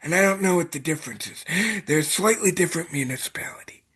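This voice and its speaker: A man's voice: fairly high pitch, fast talker, a bit bright, breathy edge. A man from Iowa, a guest on a podcast, who talks in a hesitant way, full of fillers and trailing off. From Iowa, United States. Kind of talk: casual chat.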